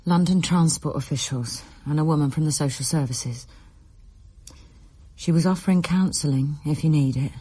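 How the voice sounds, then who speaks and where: A woman's voice: low-pitched, normal speed, warm tone, husky. A woman playing a protective, firm mother in a radio drama, with a maternal manner. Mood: concerned, firm.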